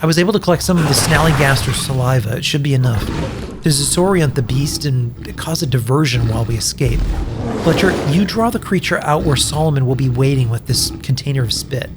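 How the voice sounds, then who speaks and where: A man's voice: medium pitch, lively intonation, a little fast, very noisy, lightly acted. A man playing a wry, scheming captive in a comic fiction podcast, talking plainly and urgently. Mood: conspiring, determined. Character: wry scheming captive forced to play Cody the Cow.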